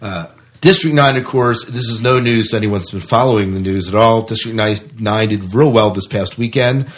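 Man, low voice, podcast co-host, casual film review talk. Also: moderate pace.

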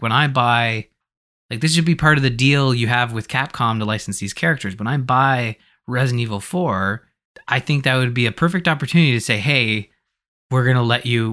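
Bright-voiced man hosting a casual podcast. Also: medium pitch. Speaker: podcast host.

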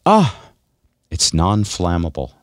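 A man hosting a casual radio show, bantering in a wry, deadpan way. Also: sits low, takes it slowly, no echo.

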